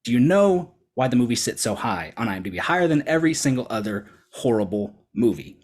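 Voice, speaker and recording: A man's voice: mid-range voice, big pitch swings, quick pace, very noisy. A man delivering an emphatic, prepared debate argument, driving points home with rhetorical repetition. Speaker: debate contestant.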